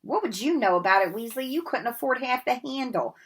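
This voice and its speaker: A woman's voice: on the high side, expressive, a little fast, breathy edge, far from the mic, pitched above the performer's own voice. A woman reading aloud, voicing a spiteful, arrogant schoolboy bully with a sneering manner.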